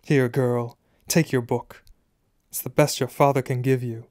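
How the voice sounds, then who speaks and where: A man's voice: medium pitch, fairly even intonation, unhurried, a little mellow, no room sound, clean studio sound, close to an everyday voice. A man voicing a malicious upper-class wizard father in a storybook, drawling and mock-polite with cold contempt. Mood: contemptuous.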